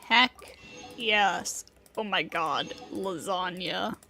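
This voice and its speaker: A woman's voice: on the low side, extremely slow, gravelly, sharp tone, soft background music. A woman game livestreamer chatting casually and slangily, with playful exclamations. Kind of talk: casual livestream commentary with reading out of game dialogue.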